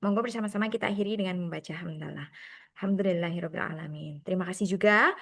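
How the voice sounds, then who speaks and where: A woman hosts and wraps up an online sharing session. She talks in a friendly, brisk way and slips in English phrases. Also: fairly low pitch, vocal fry, fairly bright tone. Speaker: session moderator.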